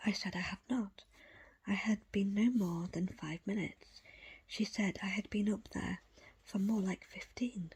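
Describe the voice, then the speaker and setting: A woman reading a book aloud as ASMR in a breathy, unvoiced whisper, with hushed, deliberate phrasing in short pieces. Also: medium pitch, steady tone. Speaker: audiobook reader.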